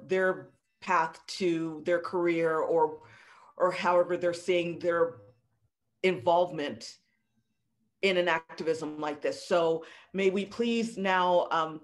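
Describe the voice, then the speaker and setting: Woman, middle-aged, panel moderator. Slow, halting delivery on a video call. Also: on the low side.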